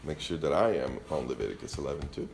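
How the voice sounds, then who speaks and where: A man's voice: a bass voice, sing-song, fast talker, gravelly, a little mellow, reverberant, slight background noise. A man from Venezuela with a Spanish accent, teaching from scripture to a congregation in a conversational, joking way. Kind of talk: informal sermon teaching.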